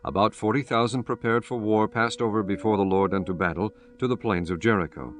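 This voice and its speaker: A man narrating scripture for an audiobook in a solemn, measured and formal reading style. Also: on the low side.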